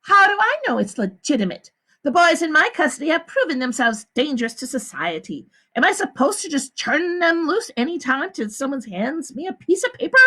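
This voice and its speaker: A woman reading aloud, voicing a defensive, lying camp warden in a high, indignant voice. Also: sing-song, fairly quick, a bit bright.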